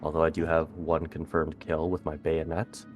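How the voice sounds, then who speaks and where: A man's voice: a bass voice, completely flat delivery, normal speed, dull audio, soft background music. A man playing a tabletop game, talking casually about his character. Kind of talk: casual chat.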